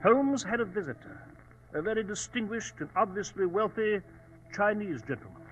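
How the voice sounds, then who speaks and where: An actor playing Doctor Watson, an earnest, formal gentleman companion, in a dramatic 1940s radio style. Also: sits high, expressive, normal speed, low-quality recording. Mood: grave storytelling. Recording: old radio broadcast with music.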